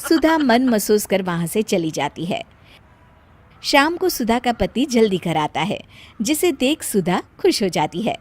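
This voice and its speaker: A woman's voice: mid-range voice, big pitch swings, a little slow. A woman narrator reading a scripted story for a cartoon.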